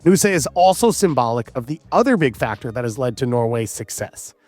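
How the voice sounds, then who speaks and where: A man's voice: mid-range voice, very animated, fairly quick, a little mellow, dry recording. A man narrating a scripted sports documentary as the host.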